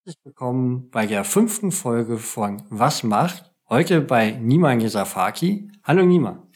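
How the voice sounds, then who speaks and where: A man hosts a podcast episode, working through prepared questions for his guest. He speaks in a plain, measured manner at a normal middle pitch for a man. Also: big pitch swings, a little mellow. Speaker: podcast host. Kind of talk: scripted interview questions.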